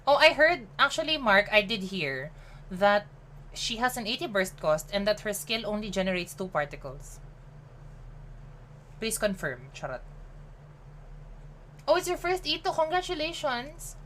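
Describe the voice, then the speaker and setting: A woman's voice: medium pitch, big pitch swings, a little slow, long pauses, fairly bright tone, a little hiss or hum, rough recording. A woman, chatting casually on a game live stream, upbeat, mixing in Tagalog and laughing often. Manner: chatty and upbeat, mixes English with Tagalog, laughs often, greets chat by name.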